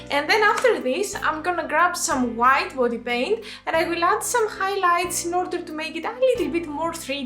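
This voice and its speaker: Greek-accented woman, non-native English, high voice, echoey room with soft music, chatty makeup tutorial host. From Greece. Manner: chatty, explains each step, points things out. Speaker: makeup artist. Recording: roomy with music behind.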